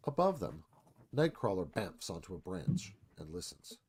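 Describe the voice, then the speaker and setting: A man's voice: low-pitched, wildly swooping pitch, normal speed, creaky, noisy background. A man reading screenplay stage directions aloud as scripted narration.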